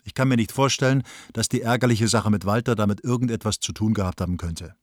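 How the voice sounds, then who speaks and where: An actor playing a brisk, wry playwright who reads his letters in a matter-of-fact way in a radio drama. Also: on the low side, no room sound, studio quality, natural voice. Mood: awkward, cool.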